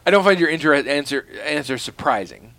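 A man's voice: a little high, expressive, fairly quick, a bit bright. A man co-hosting a podcast in casual chat, playful and analytical, laughing often. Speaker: podcast co-host.

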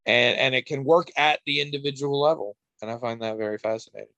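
A man's voice: mid-range voice, quick pace, bright, forceful tone, no echo, muffled recording. Middle-aged male co-host, candid and rambling, casual swearing, dry close recording. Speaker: podcast co-host. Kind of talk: casual chat.

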